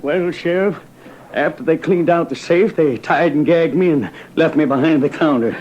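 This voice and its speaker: A man's voice: on the high side, moderate pace, a little mellow, natural voice. A man acting an anxious, earnest American express agent in an old-time radio western. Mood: earnest, worried. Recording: dull old radio recording, faint noise.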